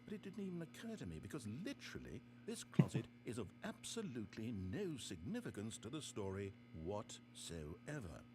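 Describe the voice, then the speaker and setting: An actor playing a pompous, sardonic and exasperated storybook narrator in a video game, speaking articulately in scripted narration. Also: male voice, on the low side, huge pitch swings, moderate pace, few pauses, background noise. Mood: confused, exasperated.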